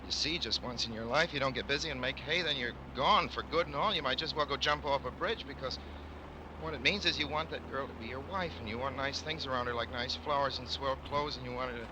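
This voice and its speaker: A man's voice: mid-range voice, fast talker, lightly acted. An actor playing a smitten, naive young American racetrack hand, confiding his story in folksy, rambling speech in old radio drama style. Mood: wistful, rueful. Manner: colloquial, folksy, rambling first-person confiding. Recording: noisy old radio recording, vehicle noise behind.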